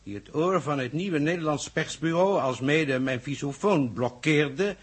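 Een acteur die in een hoorspel uit de jaren zestig een onverstoorbare, ontwijkende regeringsleider speelt, formeel, afgemeten en droog ironisch. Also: male voice, a little high, husky. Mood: suave, ironic. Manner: formal, measured, dryly ironic, condescending. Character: unruffled, evasive, dryly ironic male head of government. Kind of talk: 1960s Dutch radio drama acting.

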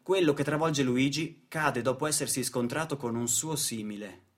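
A man delivering a dramatic narrative monologue in deliberate short phrases, like a stage storyteller. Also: medium pitch.

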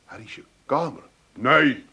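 An actor playing an exasperated, sardonic grown son with an Amsterdam accent in a comic radio play. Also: male voice, mid-range voice, expressive, unhurried, gravelly, pushed and bright, exaggerated voice. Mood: irritated. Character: exasperated, wry grown son, working-class Amsterdam man.

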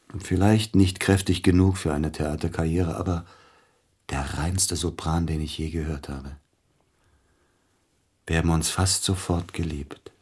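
A deep, rough-edged male voice plays a bereaved concert pianist in a radio drama. He is formal and hesitant, brooding over his loss, with jealousy pressing through his courtesy. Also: a little mellow. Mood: subdued, wistful. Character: grieving, jealous widowed male pianist, courteous but probing. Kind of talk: German radio play acting.

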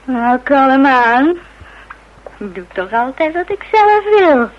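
A woman's voice: high voice, very animated, slow pace, heavily acted. An actress playing a tipsy, brazen, quarrelsome woman in a Dutch radio play, drawling and taunting. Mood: defiant, insulting. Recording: muffled, slightly noisy radio recording.

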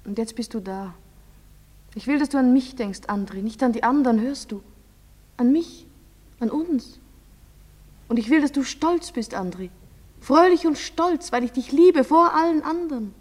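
A woman's voice: on the high side, animated, moderate pace, pauses often, mellow, in a large hall. An actress playing a devoted, pleading young woman in love in a stage drama, intimate and insistent. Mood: pleading, passionate. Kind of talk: stage-drama acting in German.

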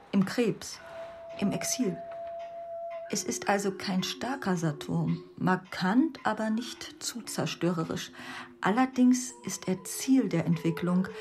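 An actress playing an astrologer who earnestly explains a birth chart in a radio play, measured and explanatory. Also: female voice, medium pitch, natural voice. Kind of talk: monologue in a literary radio play. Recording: music under the voice.

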